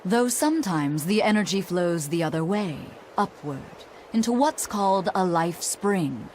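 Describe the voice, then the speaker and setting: A woman playing a calm, knowing scholar in a fantasy video game, explaining things in a measured way. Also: lowish voice, slight background noise. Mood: calm, explanatory.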